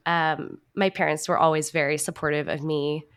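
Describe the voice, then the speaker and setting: A woman's voice: low voice, fairly even intonation, normal speed, fairly bright tone, smooth. A woman answering interview questions conversationally, in a reflective and earnest manner. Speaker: podcast guest, actor and writer.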